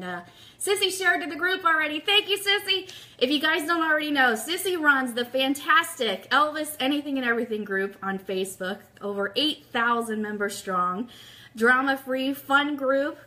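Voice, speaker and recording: A woman with a very bright, forward voice sits a little high in pitch. She hosts a live online radio show for music fans in an upbeat, informal way. Her delivery moves between friendly chat with viewers and announcements.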